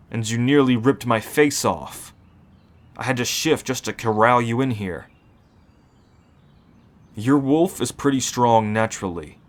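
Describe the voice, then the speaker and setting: A man playing a seasoned, calm werewolf who explains pack ways matter-of-factly in an ASMR roleplay. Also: mid-range voice. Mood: warning.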